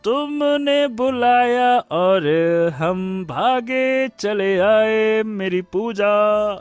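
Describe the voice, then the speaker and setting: An actor playing a playful young man sweetly pleading in a teasing way in an animated story. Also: high-pitched, drawn-out words, clear, resonant tone, no room sound, soft background music. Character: playful, coaxing young lover, landlord's dutiful son. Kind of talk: animated fairy-tale story acting.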